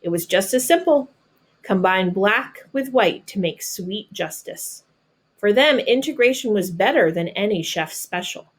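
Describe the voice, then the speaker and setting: A woman with an American accent reads a picture book aloud like a teacher, pausing to explain things simply and patiently to children. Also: mid-range voice, lively intonation, husky. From North Carolina, United States.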